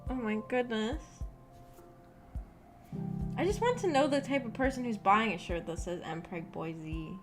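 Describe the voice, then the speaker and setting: A young woman with a fairly high voice chats casually to her livestream audience. She rambles through personal stories in a giggly, animated manner, leaning often on "like". Music plays behind her. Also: a little slow, pauses often. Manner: giggly, animated storytelling with frequent "like". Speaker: streamer hosting a visual novel book club. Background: background music.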